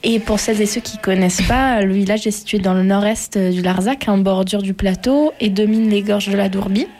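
A woman's voice: mid-range voice, fairly even intonation, brisk, a little mellow. A woman hosting a radio interview, friendly and full of fillers. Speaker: radio host.

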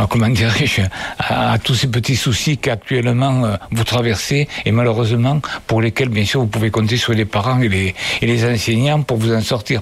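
An older retired man answering schoolchildren's questions about his school days, speaking slowly and hesitantly as he searches for words. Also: medium pitch, husky. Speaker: retired villager interviewed by schoolchildren. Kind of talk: reminiscing interview answers.

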